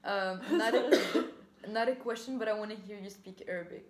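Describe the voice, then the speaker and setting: A young woman hosting a casual chat, talking quickly and giggling, switching between English and Arabic. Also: medium pitch, steady tone, slight background noise. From from Paris. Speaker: vlog host. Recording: echoey room with faint ambience.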